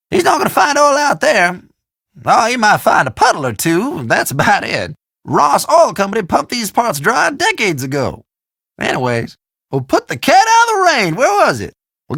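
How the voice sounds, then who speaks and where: Game role: rambling country storekeeper, Southern drawl, bright and creaky, pitch swinging wildly. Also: male voice, no echo, studio quality, exaggerated voice. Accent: American Southern or South Central country. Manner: folksy, rambling, theatrical drawl. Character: folksy, chatty, forgetful small-town male shopkeeper. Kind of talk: video game voice acting.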